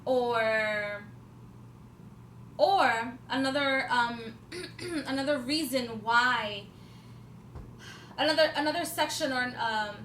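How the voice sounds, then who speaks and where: High-voiced rambling woman in her twenties. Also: slow pace, pauses often, a bit bright. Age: 20s. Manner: rambling, many fillers and restarts, swears for emphasis. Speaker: vlogger. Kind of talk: casual spiritual talk to camera. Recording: echoey room with faint background noise.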